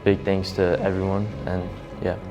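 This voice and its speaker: A teenage male soccer player giving earnest interview answers with filler pauses. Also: deep voice, monotone, warm tone, dull audio, soft background music. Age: teens.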